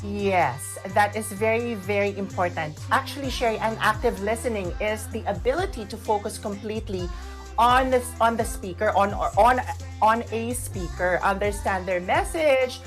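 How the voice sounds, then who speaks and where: A woman's voice: medium pitch, moderate pace, soft background music. A woman with a Filipino accent co-hosting a chatty radio talk show, repeating phrases and slipping Tagalog into her English. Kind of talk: chatty talk show discussion with some read-out tips.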